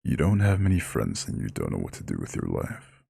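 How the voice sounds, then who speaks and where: An ancient eldritch god for an ASMR roleplay, rumbles at an extremely low pitch with heavy, gravelly fry. He speaks with lordly, formal pride and a caretaker's tenderness, his intonation moving freely in a close, dry recording. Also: wildly swooping pitch, mellow, no echo, heavily acted. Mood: gentle, consoling. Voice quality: very deep, gravelly, husky growl. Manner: lordly, formal, slow comforting address. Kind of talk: scripted ASMR roleplay monologue.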